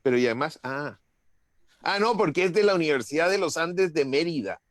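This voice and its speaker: High-voiced man telling anecdotes. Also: animated, a little fast, no room sound, clean studio sound. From Chile. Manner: chatty anecdote-teller, laughs while talking. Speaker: conference participant. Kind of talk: casual chat.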